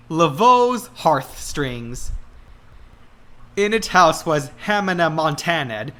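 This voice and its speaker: A man narrating a comic dramatic reading of fan fiction in an exaggerated, halting way. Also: a little high, fairly bright tone.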